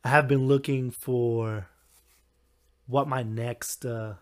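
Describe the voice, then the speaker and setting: A young man with a hoarse, recovering voice, hosting a solo podcast in a rambling, halting way with frequent chuckles. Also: mid-range voice, steady tone. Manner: chuckles often. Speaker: podcast host. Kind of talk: casual solo podcast talk.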